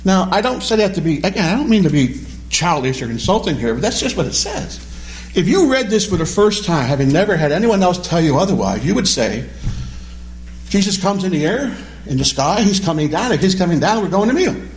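A man teaching a Bible study class, animated and questioning, repeating key words as he explains them. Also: sits high, brisk, rough recording. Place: classroom or church hall with a small group.

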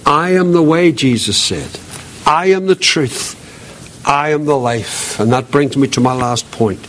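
A man's voice: fairly high pitch, lively intonation, measured pace, a bit bright, slight background noise. A man delivering an evangelical sermon as a preacher, earnest and solemn, repeating key phrases for emphasis.

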